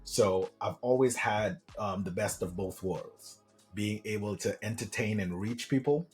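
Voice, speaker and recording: A middle-aged man with a low voice tells his story in a friendly, lively way. Faint music plays underneath. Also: measured pace. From Trinidad and Tobago. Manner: animated, friendly, peppers speech with "man". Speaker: guest, government contracting coach. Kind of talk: casual interview storytelling.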